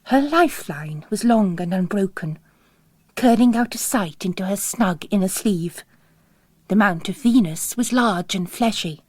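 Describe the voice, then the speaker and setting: A woman's voice: medium pitch, expressive, a little slow, darkish tone, no echo. A woman narrating a novel as a scripted literary reading.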